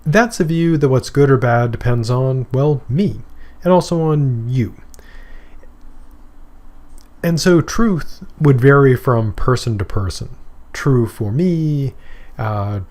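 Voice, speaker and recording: A middle-aged man from Maine lectures on philosophy at a mid pitch. He talks in a loose, conversational way and stops for examples, fillers and asides. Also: takes it slowly, pauses often, a little mellow. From Maine, USA. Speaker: philosophy professor. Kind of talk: recorded course lecture.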